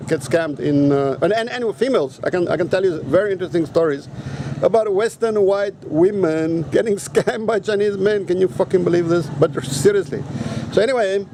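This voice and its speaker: A man's voice: high-pitched, normal speed, noisy background. A native Afrikaans-speaking man vlogging outdoors in a casual, rambling and blunt way, swearing now and then. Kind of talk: casual rambling vlog talk.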